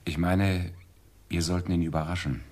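Very deep, husky detective. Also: male voice, flat delivery, moderate pace, darkish tone. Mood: bold, planning. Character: bold, dry-witted male police detective. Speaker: actor in a radio crime drama. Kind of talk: German radio play acting.

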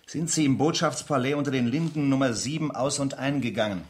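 An actor playing a stern official interrogator in a radio play, putting precise, insistent questions in a formal manner. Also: male voice, mid-range voice, brisk, darkish tone, natural voice. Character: stern, formal male interrogator in espionage case.

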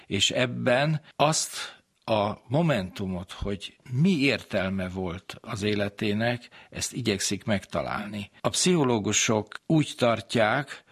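Male lecturer, lowish gravelly voice, husky, explaining ideas to listeners. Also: fairly bright tone. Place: community centre talk.

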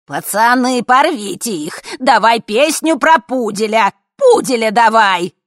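Woman doing shrill, rowdy, indignant old lady. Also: expressive, a little slow, fairly bright tone, dry recording, studio quality. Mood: rowdy demanding. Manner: shrieking, heckling. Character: rowdy, indignant old women, fervent fans.

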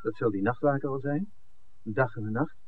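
A man's voice: mid-range voice, fairly quick, natural voice. An actor playing a questioning, discreet film man in a 1960s radio play, with an understated way of talking. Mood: sceptical. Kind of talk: 1960s radio drama acting. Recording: muffled old radio broadcast, faint hiss.

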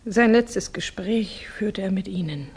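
Husky, grieving young woman. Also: mid-range voice, darkish tone. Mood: anxious, earnest. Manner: halting, formal, intense. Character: grieving young Russian woman, refined, earnest, fragile. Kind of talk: 1960s German radio drama acting.

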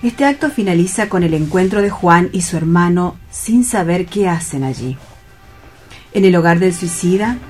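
A woman's voice: fairly low pitch, darkish tone. A woman reading scripted narration for a radio drama.

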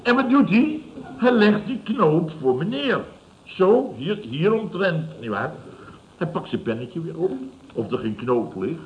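An actor playing a scornful, blustering fifty-year-old building contractor boss in an old radio comedy, mocking and repeating himself. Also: male voice, a little high, very animated, measured pace. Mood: incredulous. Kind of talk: Dutch radio comedy acting around 1970. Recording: dull, noisy old radio recording.

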